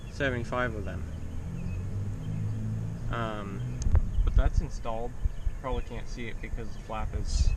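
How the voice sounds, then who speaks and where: A man casually narrating his own hands-on repair vlog in a plain-spoken, wry way. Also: lowish voice. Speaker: DIY truck repair vlogger. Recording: background noise of vehicle and hum.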